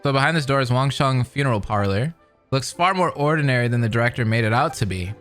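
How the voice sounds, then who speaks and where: A man's voice: mid-range voice, animated, fast talker, fairly bright tone. A man commentating casually on a game story, making sarcastic asides and reading text aloud. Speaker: streamer reacting to a game. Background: game music.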